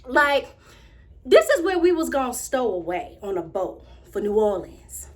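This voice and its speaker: A woman's voice: fairly high pitch, big pitch swings, unhurried. A woman with an American accent performing a theatrical monologue as a proud, imaginative young girl, telling her story with dramatic swings between confiding and exclaiming. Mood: dreamy, thrilled.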